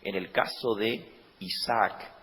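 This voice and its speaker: Slow-preaching man in an echoing hall. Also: fairly low pitch, fairly even intonation, slight background noise. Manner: rising to loud emphatic peaks, asking the congregation questions. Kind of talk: sermon. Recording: reverberant hall.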